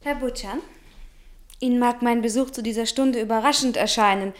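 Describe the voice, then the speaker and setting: Casting: woman playing polite, earnest young lady for radio drama, careful, higher-pitched. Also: fairly even intonation, normal speed, lightly acted. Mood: formal, careful. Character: poised, earnest young woman paying a late visit. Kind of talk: radio play acting.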